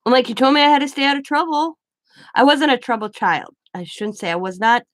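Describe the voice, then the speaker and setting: A woman's voice: sits high, brisk, no room sound, studio quality. A woman from the Midwest, chatting casually and rambling while she crafts, laughing often. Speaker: craft vlogger.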